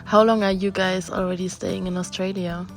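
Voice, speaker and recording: A woman's voice: mid-range voice, little pitch movement, normal speed, creaky, soft background music. A young German woman with a German accent, hosting and narrating a casual interview with housemates in a friendly, chatty way.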